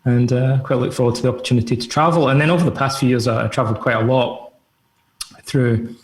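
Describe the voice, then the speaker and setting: A middle-aged Scottish man talks over a video call, loosely and easily, with plenty of fillers. His voice sits at an ordinary middle pitch. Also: fast. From Scotland. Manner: easygoing, rambling with many fillers. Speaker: guest, author and psychotherapist. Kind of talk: relaxed conversational interview answers.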